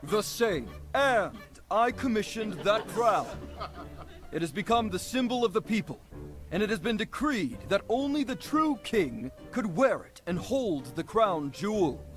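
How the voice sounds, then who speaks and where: A man's voice: high-pitched, sing-song, measured pace, soft background music. An actor playing a pompous, boastful puppet king in a theatrical storybook show within a video game. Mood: smug.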